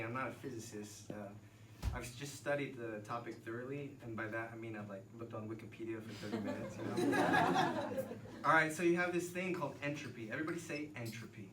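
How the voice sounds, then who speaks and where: American guy in his 30s doing storytelling on stage, jokey and thoughtful, picked up far off with loud room noise. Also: mid-range voice. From Texas, United States. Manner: conversational, joking, reflective. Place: live storytelling venue. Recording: echoey room, distant mic, noisy ambience.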